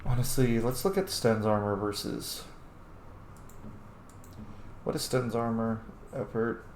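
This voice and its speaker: A man's voice: mid-range voice, steady tone, slow pace, darkish tone. A man giving casual, playful commentary on a game and chatting to its characters as he plays. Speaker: gamer narrating a playthrough. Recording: echoey room, background noise.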